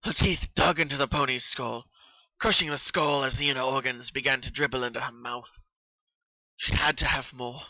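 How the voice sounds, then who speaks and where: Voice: medium pitch, steady tone, moderate pace, bright, forceful tone, dry recording, rough recording. A narrator giving an intense, theatrical dramatic reading of a horror story.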